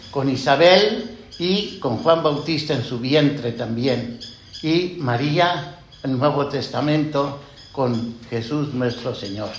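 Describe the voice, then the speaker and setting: Slow, reflective priest in an echoey church. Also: male voice, fairly high pitch, a bit bright. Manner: slow, reflective, with many "eh" fillers and questions to the congregation. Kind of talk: homily.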